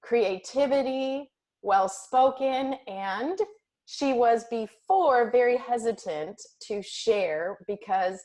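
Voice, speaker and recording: A woman's voice: on the high side, takes it slowly, a little mellow. A woman hosting a cooking show interview, giving kitchen tips and encouraging her guest. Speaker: host. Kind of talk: casual interview chat.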